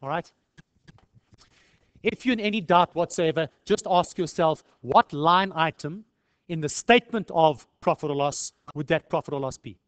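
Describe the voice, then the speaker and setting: A man's voice: a little high, moderate pace, fairly bright tone, no room sound. A South African man lecturing in an informal tutorial style, conversational and peppered with rhetorical questions. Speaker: accounting lecturer.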